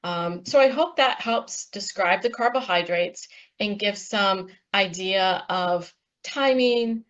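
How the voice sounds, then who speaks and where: A woman on a panel, explaining nutrition slides in a steady, instructive way with frequent ums. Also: mid-range voice, slow, lo-fi sound. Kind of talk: presenting slides in a panel talk.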